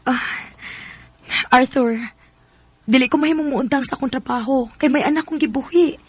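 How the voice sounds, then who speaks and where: A woman's voice: high voice, moderate pace, breathy edge, no echo. A woman acting a struggling, tender young single mother in a radio drama, earnest and emotive, with a Bisaya Filipino accent. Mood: cautious, loving.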